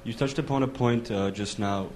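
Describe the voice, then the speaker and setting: A man's voice: on the low side, normal speed, darkish tone, slight background noise. A man in a lecture audience asking a long question, hesitant with many fillers. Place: lecture hall.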